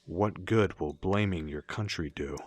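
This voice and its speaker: A man acting in a radio drama as a stern, loyal colonel, husky-voiced and terse. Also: low-pitched, measured pace, no echo. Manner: firm, terse.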